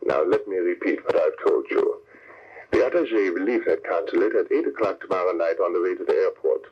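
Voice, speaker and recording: Calculating male villain, deep voice, formal measured phrasing, cold-blooded spy boss, old radio thriller, muffled sound. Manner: smooth-talking, measured, formal. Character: scheming, cold-blooded male spy ringleader offering a deal. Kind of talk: 1940s radio crime serial acting. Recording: dull, noisy old radio broadcast recording.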